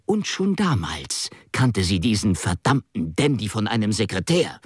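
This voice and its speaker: Agitated young posh gent in a radio drama, accusing, pitch all over, husky, dry close sound. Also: male voice, sing-song, measured pace, no room sound, heavily acted. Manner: abrupt, insistent, emphatic. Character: strong young English gentleman, impulsive, accusing, agitated. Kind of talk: German radio drama acting.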